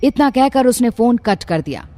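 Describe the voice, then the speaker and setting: High-pitched woman narrating a drama. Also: sing-song, normal speed, dark tone. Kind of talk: scripted dramatic story narration. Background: background music.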